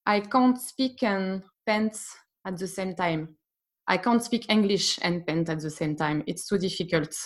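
A woman's voice: mid-range voice, unhurried. A woman with a French accent, a painting teacher who speaks earnestly and sometimes searches for words. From France. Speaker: art teacher, guest. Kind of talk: casual conversation.

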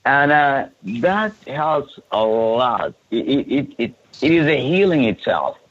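A man's voice: medium pitch, sing-song, takes it slowly, highs cut off. A man from Sudan who coordinates refugee support services speaks earnestly in a podcast interview, often restarting and repeating words.

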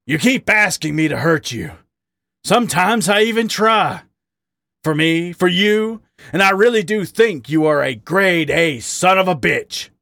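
A man voicing a bitter, volatile male badger who shouts in anguish and then turns weary. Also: sits high, animated, measured pace, gravelly, no echo, studio quality, voice pushed up, full character voice.

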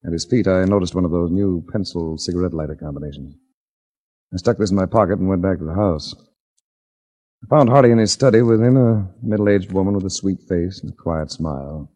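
An actor playing a wry hard-boiled investigator in a 1940s radio drama, speaking in a dry, measured, wisecracking way. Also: male voice, low voice, mellow. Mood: grim, measured narration. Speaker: lead actor and narrator. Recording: dull 1940s radio recording.

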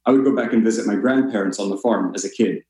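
A man's voice: lowish voice, fast, a little mellow. American man, roomy echoing sound. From Colorado, United States. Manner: self-deprecating, gracious. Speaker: podcast guest. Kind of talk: casual conversational storytelling.

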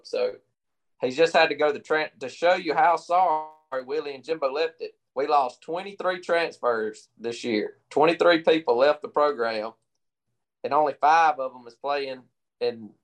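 A man on a sports podcast talks football in a hesitant way, hedging before he commits. His voice sits in the middle of the range. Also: medium pitch. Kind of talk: casual sports chat.

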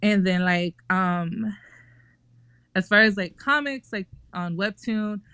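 A woman's voice: mid-range voice, slow, pushed and bright, clear, resonant tone, no echo. A young woman talking casually in a group podcast, chatty and full of fillers and restarts.

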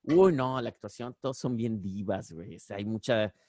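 A man's voice: fairly low pitch, normal speed, darkish tone, no room sound, clean studio sound. A man with a Mexican Spanish accent, hosting a casual podcast, joking and slangy, laughing often. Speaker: podcast host.

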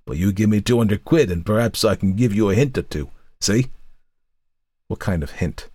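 A man's voice: lowish voice, expressive, moderate pace, warm tone, breathy edge, dry recording, pitched above the performer's own voice. A man reading aloud, voicing a scarred, cunning old woman with a wheezing, wheedling voice. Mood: sly. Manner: leering, wheedling, calls everyone dearie.

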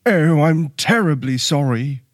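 A man voicing a gentle, apologetic, gloomy elephant in a children's story, speaking hesitantly and politely. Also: animated, a little slow, dry recording, clean studio sound. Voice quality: deep, husky.

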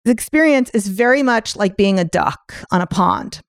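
A woman hosting a podcast interview in a chatty style, jumping between quick asides and her next question. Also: medium pitch, lively intonation, dry recording, clean studio sound. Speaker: podcast host. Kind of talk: casual interview chat. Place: New York.

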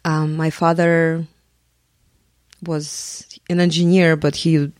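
A woman in her forties with a Russian accent, a guest on a podcast, speaking thoughtfully with frequent pauses and ums. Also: deep voice, little pitch movement, darkish tone, dry recording. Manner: thoughtful, hesitant with many ums. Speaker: guest artist and professor. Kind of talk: podcast interview.

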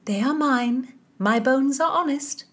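A woman voicing a spirited young maid of honour with a bright, wry manner in an audiobook. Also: high voice, measured pace, pitched above the performer's own voice.

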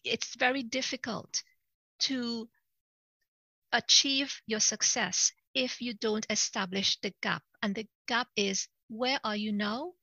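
A middle-aged woman from Trinidad speaks in a casual interview, sincere and repeating herself for emphasis. Her voice is bright and edged with fry. The recording is close and dry. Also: a little high, steady tone, takes it slowly, no room sound, dull audio. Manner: earnest, repeats words, laughs easily. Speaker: guest coach.

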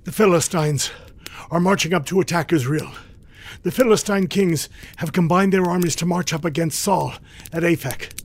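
A man performs an urgent warrior messenger in a dramatized Bible story. His voice sits high for a man and is husky with breath. He pleads in distress, pressing his lord hard. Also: moderate pace. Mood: breathless, alarmed.

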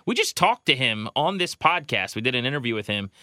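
Bright, forceful male sports podcast host. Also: mid-range voice, big pitch swings, fairly quick, no echo, clean studio sound. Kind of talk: sports talk podcast banter.

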